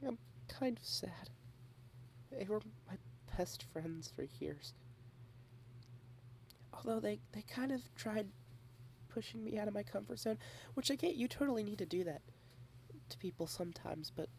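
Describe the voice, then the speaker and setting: A young adult woman in a girlfriend ASMR roleplay, rambling shyly and softly, dropping into whispers at times. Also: mid-range voice, gravelly, a little hiss or hum. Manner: shy, rambling, soft-spoken with whispered asides.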